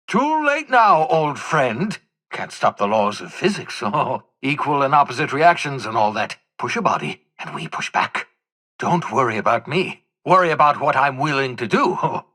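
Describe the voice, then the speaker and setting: An embittered old renegade scientist for a video game. The voice is raspy and forceful, swinging widely in pitch. He rants with theatrical sarcasm and erudite, agitated desperation. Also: heavily acted. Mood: defiant, unhinged. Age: older. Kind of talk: video game voice acting, dramatic monologue.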